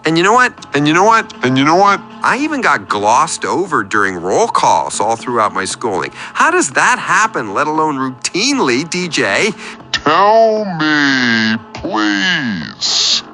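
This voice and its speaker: A man's voice: mid-range voice, huge pitch swings, normal speed, few pauses, bright, forceful tone, soft background music. An actor playing a needy, self-pitying man calling a radio show, with a confessional, theatrical and wordy storytelling manner. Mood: wounded pleading. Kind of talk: scripted comedic radio play monologue.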